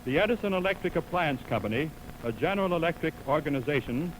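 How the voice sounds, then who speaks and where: 1920s radio announcer, man, fairly high voice, formal measured sponsor read, heavy surface noise. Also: lively intonation. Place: radio studio. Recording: noisy 1929 radio aircheck on film.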